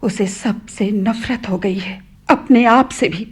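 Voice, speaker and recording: An actress playing an anguished middle-aged widowed mother in a Hindi radio play, halting and polite in her sorrow. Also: mid-range voice, breathy edge. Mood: distressed, hesitant.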